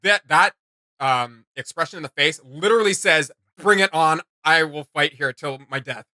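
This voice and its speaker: A man's voice: a little high, normal speed, pushed and bright. A man giving casual, enthusiastic reaction commentary as a co-host, slangy and exclamatory, with music behind him.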